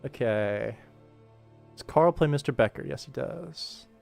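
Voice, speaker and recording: A man's voice: mid-range voice, lively intonation, a little slow, vocal fry, a little mellow. American man streaming casually over music. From United States. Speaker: livestreamer and animator. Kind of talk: casual livestream chat while working.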